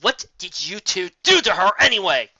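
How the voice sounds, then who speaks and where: A man voicing a temperamental female pegasus in a story, stern and dry, forcing herself to stay calm. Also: high-pitched, moderate pace, creaky, muffled recording, voice pushed up, exaggerated voice. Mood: exasperated.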